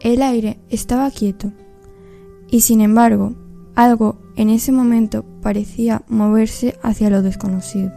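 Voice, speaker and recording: Very mellow woman storyteller. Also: fairly high pitch, steady tone, measured pace, gravelly, faint music behind. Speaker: story narrator. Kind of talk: scripted storytelling narration for a radio play.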